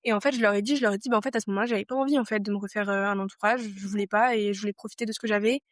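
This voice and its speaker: A woman's voice: mid-range voice, fairly even intonation, very fast, no echo, clean studio sound. A woman in her twenties from France, a podcast guest talking things over casually and reflectively, with many fillers and restarts. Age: 20s.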